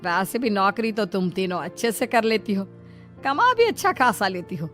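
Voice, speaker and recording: A woman's voice: mid-range voice, big pitch swings, moderate pace, a bit bright, soft background music. A woman voicing a bossy middle-aged mother-in-law in a dramatic, emphatic cartoon style. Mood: firm. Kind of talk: Hindi cartoon story acting.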